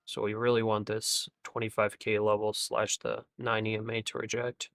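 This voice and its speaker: A man hosting a live day-trading stream, thinking aloud over charts, often trailing off into quiet remarks and laughing easily. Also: on the low side, completely flat delivery, moderate pace, gravelly, no room sound, studio quality. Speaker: day-trading livestream host. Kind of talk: live trading commentary with guests.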